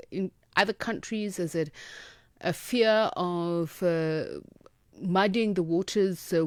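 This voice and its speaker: A woman, hosting a current affairs interview and asking long, formal questions in a measured way. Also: on the low side, no echo, clean studio sound. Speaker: radio interviewer.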